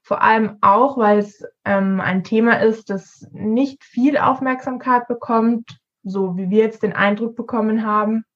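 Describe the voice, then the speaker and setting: A woman's voice: a little high, fairly even intonation, a little slow. A woman in her early twenties co-hosting a student podcast with prepared, explanatory talk. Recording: dull lo-fi podcast audio.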